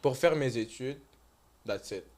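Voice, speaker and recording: A man's voice: mid-range voice, measured pace. A young man from Morocco, a guest on a podcast, chatting casually in slangy speech with bits of English mixed in. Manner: relaxed slangy talk mixing in English. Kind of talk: casual chat.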